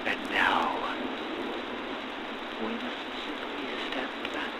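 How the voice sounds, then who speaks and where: Over loud, stormy rain comes a manic mad scientist, in a gothic horror audio drama. He declaims slowly and theatrically in a mid-pitched voice, stretching key words with grandiose, obsessive zeal. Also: heavy background noise. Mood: eager, intent. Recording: loud rain and thunderstorm ambience behind. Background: thunderstorm and rain.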